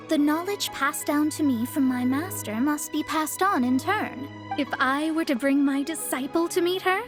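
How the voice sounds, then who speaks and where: A woman playing a proud, self-assured young female astrologer in a video game, speaking in a lofty, formal way. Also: sits high. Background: background music.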